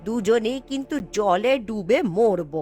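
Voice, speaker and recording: A woman's voice: on the high side, unhurried, a little mellow, lightly acted. A woman playing a poor, proud old village grandmother in a fairy-tale cartoon, speaking in an emphatic, indignant way. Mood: aggrieved pleading. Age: older. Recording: background music throughout.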